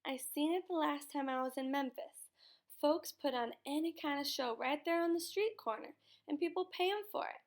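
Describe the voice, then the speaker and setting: A woman's voice: high voice, normal speed, voice pushed up, natural voice. A grieving boy with a drawl, as a woman voices him. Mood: earnest. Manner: pleading, then yelling in raw anguish. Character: grieving poor young boy, fierce and stubborn.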